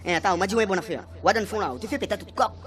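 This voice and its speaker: A man's voice: high-pitched, rapid-fire, a bit bright, faint music behind. A man playing a cheeky, mocking Saint Peter at heaven's reception in a radio soap, with a Réunion accent. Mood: mocking, combative. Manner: colloquial, mocking. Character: cheeky, unimpressed Saint Peter, gatekeeper of paradise. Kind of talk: radio soap comic acting.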